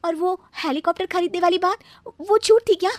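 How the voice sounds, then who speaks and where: A woman voicing a sharp-witted young bride in a cartoon, exasperated and emphatic. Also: extremely high pitch, brisk, dry recording, clean studio sound, voice pushed up. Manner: emphatic, incredulous questioning. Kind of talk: animated cartoon dialogue.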